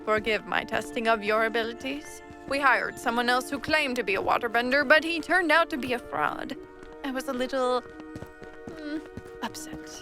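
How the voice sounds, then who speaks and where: A woman voicing a sly, smooth-talking ship captain in a fan-made animated comic dub, speaking in a drawling, quietly menacing way. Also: high-pitched, normal speed, over music, lightly acted. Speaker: voice actor.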